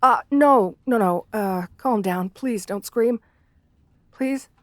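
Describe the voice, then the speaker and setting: Woman voicing shy female gargoyle for ASMR roleplay: bookish, gentle, lonely. Unhurried, hesitant, formal delivery, close dry sound. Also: on the high side, dry recording. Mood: flustered, timid. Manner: hesitant, polite, old-fashioned formal diction. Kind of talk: romantic ASMR audio roleplay.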